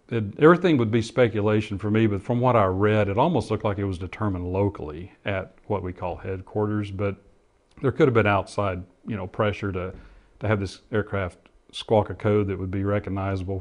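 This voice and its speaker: Middle-aged male interviewee, fairly low voice, thoughtful and halting as he recounts and explains. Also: creaky. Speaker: interviewee, former air traffic controller and pilot. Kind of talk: interview testimony, recollection.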